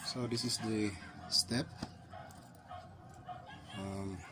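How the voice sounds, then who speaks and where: A man talks through a how-to very slowly, in short, halting phrases. His voice sits a little low, and he keeps to simple, informal instructions. He is recorded outdoors, with birds and crowing roosters clearly audible behind him. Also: steady tone, background noise. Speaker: hobby tutorial presenter. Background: roosters crowing, chickens, birds.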